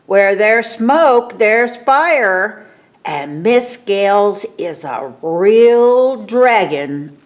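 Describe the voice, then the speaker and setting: A woman's voice: medium pitch, expressive, extremely slow. A woman voicing a scared but knowing schoolboy in a storybook, declaring his suspicion emphatically. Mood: alarmed certainty.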